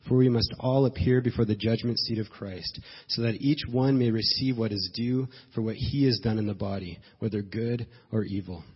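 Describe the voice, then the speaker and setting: Stuffy-nosed pastor in a reverberant hall. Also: male voice, fairly low pitch, fairly even intonation, slightly rough. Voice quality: nasal. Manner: earnest, halting restarts, slow emphatic phrasing. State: stuffed up from a cold. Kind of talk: sermon. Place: church sanctuary. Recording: dull sound with long hall reverb.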